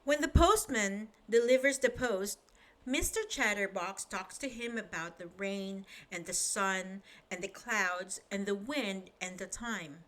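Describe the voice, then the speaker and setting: A woman reading a children's bedtime story aloud in a lively, expressive way, asking the listener questions. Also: medium pitch, measured pace.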